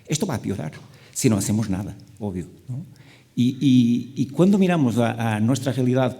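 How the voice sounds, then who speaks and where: Portuguese man with a mellow voice. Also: medium pitch. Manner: halting, with many restarts and fillers. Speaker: panelist. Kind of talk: panel discussion.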